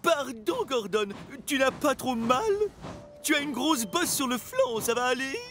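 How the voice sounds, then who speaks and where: A man's voice: very high-pitched, lively intonation, measured pace, fairly bright tone, faint music behind, exaggerated voice. A man voicing a concerned, apologetic young diesel locomotive in a French-language children's cartoon, with an anxious, polite manner.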